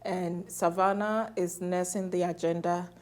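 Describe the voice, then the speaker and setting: A woman's voice: fairly low pitch, steady tone, unhurried. A woman hosting a TV interview, affirming and chatty with her guest.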